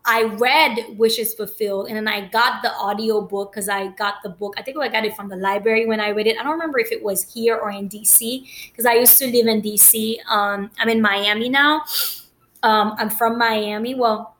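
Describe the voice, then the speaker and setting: A woman's voice: a little high, fairly even intonation, normal speed, a bit bright. A woman from Haiti talking casually about her personal journey, rambling with many fillers and restarts.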